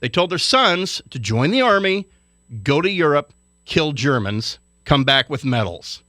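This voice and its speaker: A man's voice: on the high side, very animated, a little slow, pushed and bright, dry recording, studio quality. A man from Hawaii delivering an indignant, lecturing radio commentary rant.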